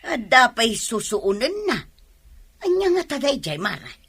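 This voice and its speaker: A woman's voice: fairly high pitch, sing-song, measured pace, fairly bright tone, slightly rough, full character voice. A woman acting in a radio drama as a nagging, exasperated wife who complains and scolds. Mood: curious, gossipy.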